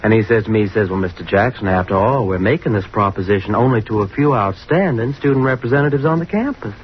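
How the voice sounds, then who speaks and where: An actor playing a snobbish, sarcastic young fraternity president in an old-time radio drama, with a dismissive, slangy drawl. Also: male voice, lowish voice, fast talker, lightly acted. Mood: smug, boastful. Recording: muffled, noisy old radio recording.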